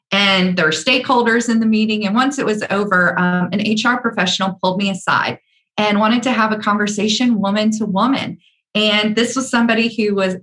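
A woman's voice: medium pitch, a bit bright. Earnest woman speaking about workplace experience. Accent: Southern US, mostly hidden. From American South. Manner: measured, earnest, frequent ums. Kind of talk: talk with personal stories.

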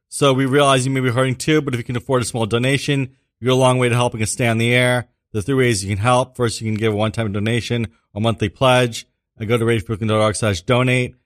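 A man's voice: fairly low pitch, dry recording. A man hosting a community radio interview show from the studio, talking loosely with fillers and restarts.

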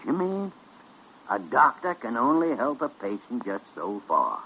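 An actor playing a gruff, pragmatic senior doctor with brusque authority, in old-time radio drama style. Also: male voice, medium pitch, wildly swooping pitch, measured pace, heavily acted. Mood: resigned, measured. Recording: dull, noisy vintage radio recording.

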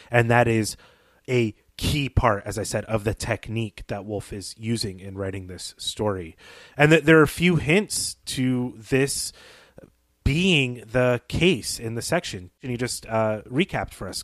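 A man's voice: medium pitch, dry recording, clean studio sound. A man co-hosting a podcast, offering thoughtful, conversational literary analysis. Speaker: podcast co-host.

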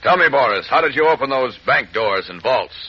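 An actor playing a confident, fair-minded superhero with a firm, measured manner in 1940s radio style. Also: male voice, medium pitch, lively intonation, slightly rough. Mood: assured, fair. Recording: muffled, noisy old radio recording.

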